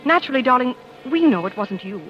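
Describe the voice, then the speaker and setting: Anxious coy young wife, high-pitched, halting, old-time radio thriller, music behind. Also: female voice, expressive. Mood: confiding, determined. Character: anxious devoted young wife playing coy amateur sleuth. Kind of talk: 1940s radio acting. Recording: muffled vintage radio recording with music.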